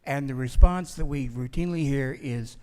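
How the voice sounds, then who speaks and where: A man lecturing from a podium in a museum auditorium, projecting his voice in a plain, steady way. Long reverb from the hall hangs behind his words. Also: mid-range voice, moderate pace, clean tone. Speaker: conference speaker, museum historian. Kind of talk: public lecture. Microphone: podium microphone.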